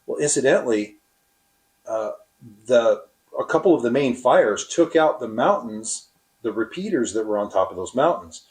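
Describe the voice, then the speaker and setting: A man explaining his experience as a radio operator in an interview, with halting, deliberate phrasing. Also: mid-range voice, expressive, low-quality recording.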